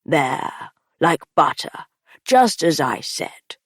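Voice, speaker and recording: A woman doing a calm bear-folk cook. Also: sits low, slow, breathy edge, dry recording, studio quality, voice pushed down, full character voice.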